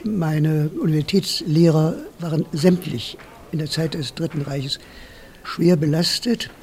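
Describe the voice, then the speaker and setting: A man's voice: fairly high pitch, unhurried, darkish tone. An older man speaking reflectively and measuredly in a documentary interview.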